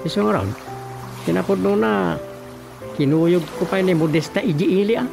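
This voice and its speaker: A man's voice: high-pitched, normal speed, gravelly, music in the background. A man acting a concerned relative in a radio drama, conversational and explanatory. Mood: concerned, confiding.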